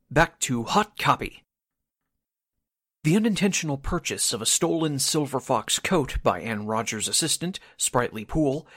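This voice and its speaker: A man's voice: medium pitch, fairly bright tone, no echo. A man announcing and narrating a 1940s-style radio drama from a script.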